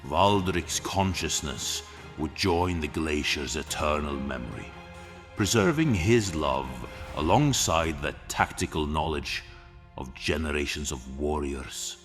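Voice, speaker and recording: A man with an exceptionally deep voice narrates epic fantasy with solemn drama. He takes his time over every phrase, measured and grave. Long reverb surrounds the voice, with music underneath. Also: animated.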